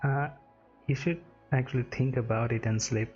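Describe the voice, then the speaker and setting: A woman's voice: a bass voice, steady tone, normal speed. A woman gaming streamer giving casual commentary over a playthrough. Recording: dull mic, game music behind.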